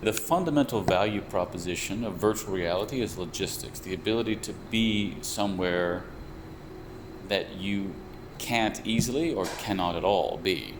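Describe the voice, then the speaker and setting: Deep-voiced man, thoughtful. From United States. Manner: thoughtful, measured, with frequent restarts. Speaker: VR researcher and developer. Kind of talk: explanatory interview talk. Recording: steady air conditioner hum behind. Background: air conditioning hum.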